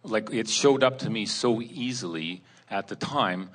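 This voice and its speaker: A man in the audience asking a question, halting and searching for his words. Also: lowish voice, expressive, a bit bright. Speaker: seminar participant asking a question.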